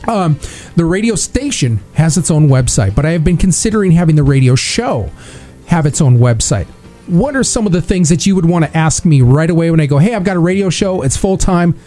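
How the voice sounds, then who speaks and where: A man hosting a live radio talk show, his voice fairly high yet mellow and relaxed. He talks eagerly, often repeating and restarting his words, and his throat sounds a little dry. Faint music sits underneath him. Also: fairly quick.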